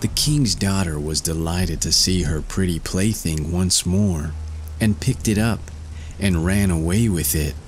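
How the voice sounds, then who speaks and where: Very slow, deep-voiced male storyteller. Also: a little hiss or hum. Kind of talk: scripted fairy-tale narration.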